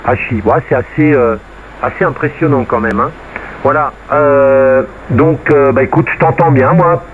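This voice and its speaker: A man's voice: medium pitch, normal speed. A man chatting casually as an amateur radio operator over a two-way radio transmission. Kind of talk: casual radio contact chat. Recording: narrow, noisy radio link.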